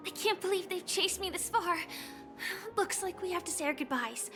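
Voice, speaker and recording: An actress playing a gentle young fugitive girl in a game, speaking in a soft, sincere, wistful way. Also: very high voice, expressive, normal speed, music in the background. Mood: wistful, earnest. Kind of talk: game voice acting.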